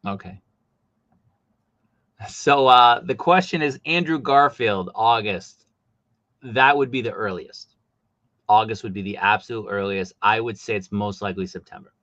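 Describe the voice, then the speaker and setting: Guy host thinking out loud, low-ish bright tense voice, dull lo-fi sound. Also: measured pace, pauses often, dry recording. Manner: speculative, thinking aloud. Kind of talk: casual chat about toy collecting.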